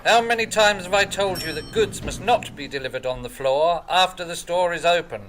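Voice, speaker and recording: An actor playing a stern, officious store floorwalker in a radio sitcom, formal and pompous. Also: male voice, sits high, normal speed, fairly bright tone, slight background noise. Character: stern, officious floorwalker man scolding staff.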